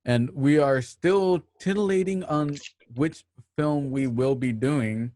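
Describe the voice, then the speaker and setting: A man's voice: a little high, slow, warm tone, no echo. A man hosting an online radio drama show, casually giving thanks and announcements to listeners.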